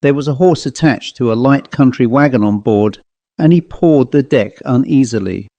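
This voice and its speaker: A male audiobook narrator reads literary fiction in a measured, formal way. His pitch is medium and the sound is very dry and close. It is scripted storytelling throughout. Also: dark tone, no room sound.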